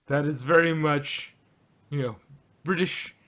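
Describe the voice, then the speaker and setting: A man co-hosting a podcast in casual group chat, with a halting, jokey way of talking. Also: fairly high pitch, bright, forceful tone. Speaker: podcast co-host.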